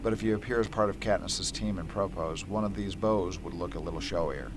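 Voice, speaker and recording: Radio drama inventor, male, kindly and keen, lowish voice, faint noise; man acting. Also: brisk. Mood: encouraging. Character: Beetee, kindly, enthusiastic male weapons inventor.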